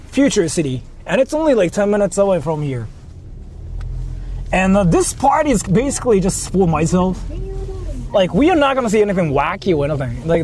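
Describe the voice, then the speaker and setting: Lively high-pitched Japanese-accented male streamer. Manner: repeats himself, slangy. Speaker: livestreamer. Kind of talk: casual livestream chat. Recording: faint vehicle noise on live stream.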